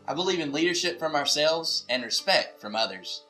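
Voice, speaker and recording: A young man recites a creed in a bright, forceful voice pitched fairly high. Soft guitar plays behind him. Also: animated, normal speed, poor audio. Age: young adult. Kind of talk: recited creed, formal reading. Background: soft guitar music.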